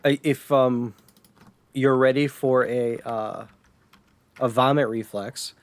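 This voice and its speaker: An American man chatting casually as a podcast host, somewhat halting when he describes things. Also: medium pitch, steady tone. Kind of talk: casual podcast chat about retro gaming.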